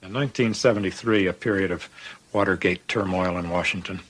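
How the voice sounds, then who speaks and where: A man with a low voice recounts an anecdote. He is measured and wry, choosing his words precisely. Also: soft background music.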